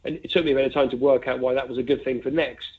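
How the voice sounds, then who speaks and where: A man's voice: medium pitch, steady tone, very fast. A man being interviewed as an investment fund manager, talking conversationally and thinking aloud with asides and examples over a phone-like line. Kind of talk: conversational market commentary. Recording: narrow, tinny phone-like line.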